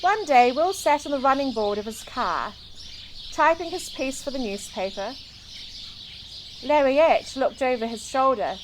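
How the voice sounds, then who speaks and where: A woman from New Zealand reading a children's storybook aloud in an expressive, deliberate way. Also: sits high, background noise. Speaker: children's book reader. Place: outdoors in a garden. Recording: outdoor recording with clear birdsong. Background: birdsong.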